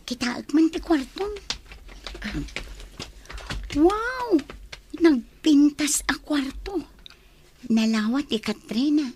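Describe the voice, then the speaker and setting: An actress playing a nosy, outspoken young housemaid in a radio drama, inquisitive and animated in her delivery. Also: high voice, slow pace, pauses often, a little hiss or hum. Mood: sneaky, curious.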